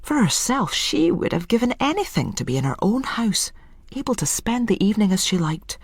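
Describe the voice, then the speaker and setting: A narrator reads scripted literary fiction in a measured way. The voice is husky and moves expressively up and down in pitch. The sound is close and dry, with no room around it. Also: very animated, no echo. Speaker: story narrator.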